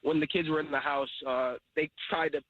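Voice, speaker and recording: A man calling in to a radio show, explaining things in a measured way with many fillers. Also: on the high side, steady tone. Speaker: caller. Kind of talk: phone-in call. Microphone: phone. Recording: tinny phone line.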